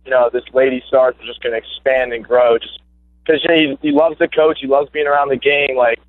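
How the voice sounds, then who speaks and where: Young guy talking quick over a phone line, lots of "you know"s, dry tinny sound. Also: mid-range voice, steady tone, no echo. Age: young adult. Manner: rapid and eager, with many fillers and restarts. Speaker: guest, former high school basketball player. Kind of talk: casual phone interview. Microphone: phone call.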